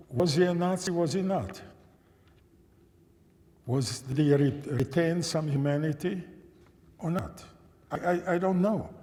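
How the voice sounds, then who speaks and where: A man in his nineties, a native German and Czech speaker, recounting a personal memory to an audience in a thoughtful, measured way, often restarting phrases and asking listeners questions. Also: mid-range voice, dark tone. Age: 90s. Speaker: guest speaker. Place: church sanctuary. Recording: reverberant church hall.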